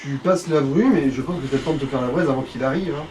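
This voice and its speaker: A man's voice: medium pitch, fast talker, a little mellow, slight background noise. A man chatting casually as he comments on someone else's video game play. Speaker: companion commenting on the player's game. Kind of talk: casual chat. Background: video game music.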